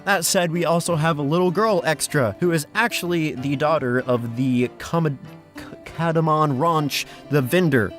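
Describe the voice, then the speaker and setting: A man's voice: on the high side, moderate pace, a bit bright. Guy doing a casual casting call voiceover, talks informal n chatty, bit of music under it. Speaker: fan dub director, casting host. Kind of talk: casting call voice-over announcement. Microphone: close XLR microphone. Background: background music.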